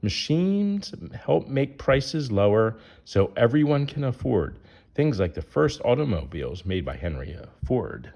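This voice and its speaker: A man's voice: medium pitch, very animated, measured pace, gravelly, a little mellow. A man reading a rhyming children's picture book aloud in a sing-song way, putting questions to young listeners.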